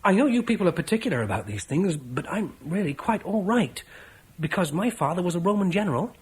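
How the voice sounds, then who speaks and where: A man's voice: high voice, big pitch swings, fairly quick, husky, full character voice, poor audio. An actor playing a cheerful, brash young soldier in a classic radio drama, laughing as he tells of a fight. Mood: earnest. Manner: boisterous, laughing storyteller. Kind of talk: 1950s radio drama acting.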